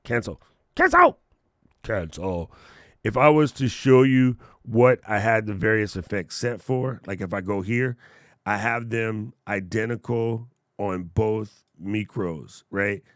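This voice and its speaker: A man's voice: on the low side, no room sound. Playful chatty man on a close studio mic. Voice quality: loud voice. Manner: playful, jokey, chatty with viewers. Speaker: tech reviewer livestream host. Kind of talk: casual livestream product demo. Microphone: studio broadcast mic up close.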